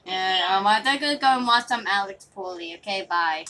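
A woman's voice: medium pitch, animated, normal speed, pushed and bright. A woman chatting casually as she improvises a roleplay in a video game, recorded in a noisy room. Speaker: gamer hosting a roleplay video. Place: small bedroom, indoors. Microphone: phone filming the TV screen.

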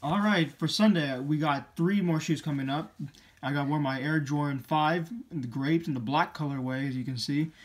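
A man's voice: a little high, moderate pace. A teenage boy hosting a sneaker channel, talking casually and rambling a bit as he shows his shoes, recorded on a camera mic in a room indoors. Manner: chatty, upbeat, rambling. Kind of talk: casual vlog show-and-tell.

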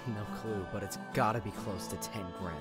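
An actor playing a bold, greedy would-be thief in an audio drama, blunt and commanding. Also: male voice, fairly low pitch, steady tone, normal speed, over loud music, close to an everyday voice. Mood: excited, brisk. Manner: blunt, commanding, often swearing.

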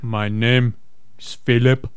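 A man's voice: medium pitch, level tone, takes it slowly, clear, resonant tone, dry recording, studio quality, pitched below the performer's own voice, close to an everyday voice. A man acting a mysterious, pleading stranger. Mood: ominous pleading. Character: pleading mysterious stranger called Philip, earnest and victimised.

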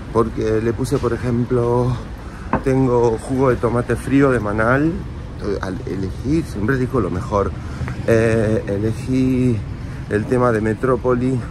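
A man in his forties with an Argentine accent, talking casually and confidingly to his viewers while walking the city streets, filmed on a phone held at arm's length. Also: medium pitch. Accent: Argentine Spanish. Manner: chatty, confiding, talks straight to the viewers. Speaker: vlogger. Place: outdoors on city streets and in a park, then at a café. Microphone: phone camera held at arm's length. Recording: phone audio with clear street noise. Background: street and traffic noise.

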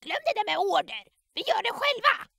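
Tiny take-charge cartoon animal, extremely high, swooping delivery. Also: female voice, big pitch swings, vocal fry, sharp tone, heavily acted. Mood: bossy, excited. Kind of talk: cartoon fan dub.

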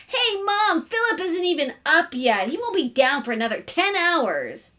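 A woman's voice: medium pitch, huge pitch swings, slow, fairly bright tone, recorded from a distance, exaggerated voice. A woman voicing a bossy, indignant eleven-year-old girl in a storybook, complaining dramatically. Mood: tattling.

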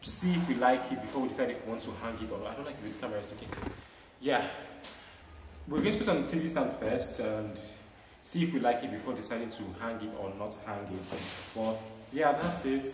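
A man's voice: mid-range voice, quick pace, a little hiss or hum. Chatty young Nigerian man in an echoey room. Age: young adult. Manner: chatty, loose and excitable, trailing off with ums. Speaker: vlogger. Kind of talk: casual vlog chat. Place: small bare room at home, indoors. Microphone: lapel mic. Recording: echoey room with music underneath.

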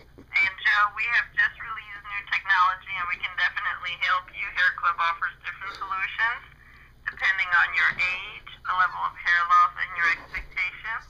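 A woman's voice: lowish voice, fairly even intonation, fairly quick, sharp tone, rough recording. An American woman working as a call centre agent, courteous and businesslike, reading a script over speakerphone. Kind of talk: scripted customer service phone intake.

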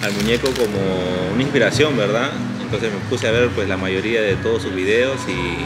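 A man's voice: fairly low pitch, a little fast, over loud music. A young man from Peru, a guest answering casually with lots of filler words in a café. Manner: relaxed, many filler "pues". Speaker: guest, fan. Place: indoor café at night.

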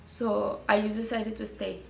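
Young mexican woman, spanish accent, bit of vocal fry, halting and repeating herself, echoey room a bit far from the mic, soft music under. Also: mid-range voice, little pitch movement, a little hiss or hum. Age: young adult. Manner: halting, repeats words, many fillers. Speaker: graduating student. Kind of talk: interview answers. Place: indoor studio with dark curtain.

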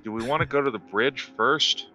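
A man's voice: mid-range voice, normal speed, bright, forceful tone. A man talking casually as a player in an online tabletop game, hesitant and thinking aloud. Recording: dull online voice chat, music behind.

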